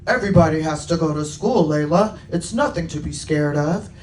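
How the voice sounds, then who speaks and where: A kind troll guardian speaks low and steady, reassuring a child, as a woman reads him from a storybook. The room echoes around the voice. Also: moderate pace, a little hiss or hum, close to an everyday voice. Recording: roomy, distant public-address sound.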